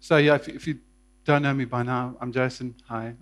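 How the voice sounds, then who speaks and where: A man's voice: mid-range voice, fairly even intonation, moderate pace. A man, telling his life story to a church in a casual, hesitant way with many fillers. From South Africa. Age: 30s. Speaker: interview guest.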